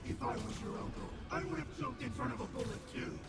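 A man's voice: very high voice, brisk. A man voicing a cruel hallucinated villain in a video game, jeering and mocking with sneering taunts. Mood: taunting. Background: loud game music.

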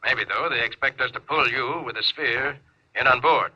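An actor playing an authoritative, deliberate spaceship captain in a 1950s radio drama. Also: male voice, medium pitch, bright, forceful tone, husky. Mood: calm command. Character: commanding, pondering male spaceship captain. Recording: dull, noisy 1950s radio broadcast.

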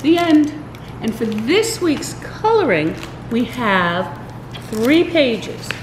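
An older woman reading a children's storybook aloud, expressive and deliberate, with small stumbles. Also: a little high. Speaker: library story time reader. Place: indoors in a large library room. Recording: roomy echo, faint background room noise.

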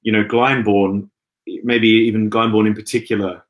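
Easygoing man from Western Australia, low voice. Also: flat delivery, a little fast. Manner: easygoing, hesitant, many ums and restarts. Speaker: guest, opera singer. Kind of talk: video call interview. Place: indoor room at home. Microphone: laptop webcam on video call.

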